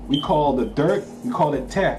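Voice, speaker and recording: A man's voice: fairly high pitch, normal speed, over music. A man from Haiti, a Creole speaker, reading a document aloud and breaking off to explain it in casual commentary, checking in with listeners. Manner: emphatic, checks in with listeners, slangy asides. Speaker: channel host.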